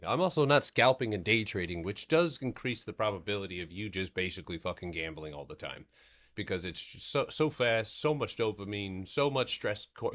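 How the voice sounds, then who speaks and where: A man with a very low, soothing voice hosts a live stock trading stream. He rambles in a loose, casual way and swears freely as he walks through the charts. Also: a bit bright.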